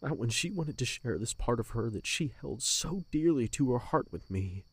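Casting: bitter, wounded young man for audio drama, husky voice, wide-swinging pitch, very dry sound. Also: expressive, moderate pace, no room sound. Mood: bitter, hurt. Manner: emotional, swinging from hushed to outburst.

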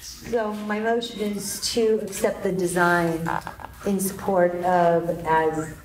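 A woman's voice: on the low side, steady tone, takes it slowly. A woman on a neighborhood oversight committee, speaking briefly and matter-of-factly as she makes motions. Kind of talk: public meeting discussion. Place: large echoey community gym. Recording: echoey gym with faint noise.

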